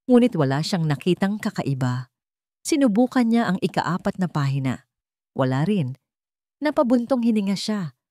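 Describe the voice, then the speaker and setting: A woman reads a scripted story as a dramatic narrator. Her voice sits on the low side and moves widely in pitch. The sound is dry and close, with no room around it. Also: very animated, moderate pace, pauses often, dark tone, no echo.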